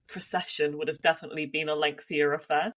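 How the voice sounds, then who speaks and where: A woman's voice: sits low, level tone, fast, fairly bright tone. A woman from Devon with a Devon accent, co-hosting a casual podcast and thinking aloud with frequent restarts. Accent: Devon English. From Devon, England. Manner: thoughtful, hedging with many restarts. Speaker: podcast co-host.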